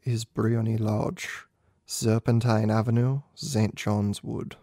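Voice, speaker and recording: A man's voice: lowish voice, flat delivery, slow pace, dark tone, no echo, clean studio sound, voice pushed down. A man voicing an anxious, proud young king in a storybook reading, solemn and emphatic. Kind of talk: amateur audiobook character reading.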